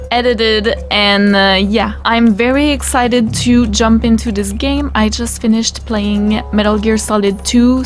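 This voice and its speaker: A young woman with a Quebec French accent, chatty and excited, introducing her pre-recorded let's-play of a video game. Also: a little high. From Quebec, Canada. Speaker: gaming YouTuber. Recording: game music playing behind.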